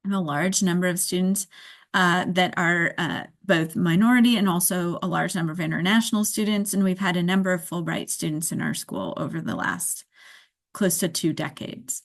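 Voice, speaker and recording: A woman program director hosting an online open house, giving a measured, explanatory presentation to prospective students. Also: on the low side. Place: video call.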